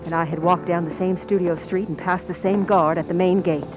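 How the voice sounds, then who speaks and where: A woman playing a scheming stand-in actress confessing to murder in an old-time radio suspense narration, with tense, deliberate delivery. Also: on the low side, fairly even intonation, natural voice, low-quality recording. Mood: tense, secretive. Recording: music under the voice.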